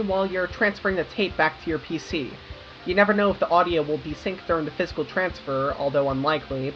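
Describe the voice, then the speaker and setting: A young American woman narrates in an informal, wry way, like a creator talking viewers through a video essay. Her audio is slightly dull, with music playing behind her. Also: fairly low pitch. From United States. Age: young adult. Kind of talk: scripted video essay narration with casual asides. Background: background music.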